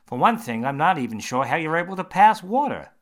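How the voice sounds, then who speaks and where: A man voicing an eager, grinning male surgeon who talks brightly and bluntly. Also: on the high side, very animated, voice pushed up. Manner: bright, emphatic, quick.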